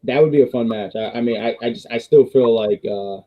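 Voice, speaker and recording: A man hosting a casual wrestling review stream, rambling with restarts and reading viewer chats, his voice going hoarse. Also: mid-range voice, brisk, muffled recording. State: losing his voice. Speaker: wrestling review show host.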